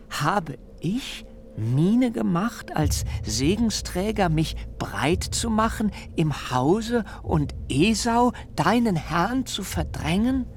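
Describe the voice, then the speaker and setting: An actor playing a fearful, self-excusing biblical fugitive who pleads in wordy, theatrical rhetoric in a literary radio drama. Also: male voice, high voice, vocal fry, slight background noise, full character voice. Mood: agitated pleading. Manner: theatrical, beseeching, rhetorical questions, hushed dips into whisper.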